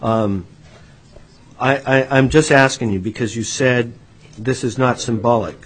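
A man's voice: mid-range voice, a little slow, a little hiss or hum. A legislator at a hearing, a man who questions a witness with frequent restarts and repeated words. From United States. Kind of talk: formal hearing testimony.

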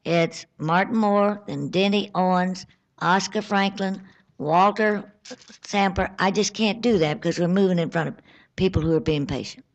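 Brisk woman chairing a hearing. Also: lowish voice. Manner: brisk, firm, procedural. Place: public hearing room. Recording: dull lo-fi hearing recording.

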